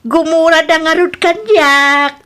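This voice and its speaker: Woman acting middle-aged lovestruck mother, high and bright, touchy, defensive, Ilocano Filipino accent, radio drama. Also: slow pace, full character voice. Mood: pleading, flustered. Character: defensive lovestruck mother duped by young boyfriend.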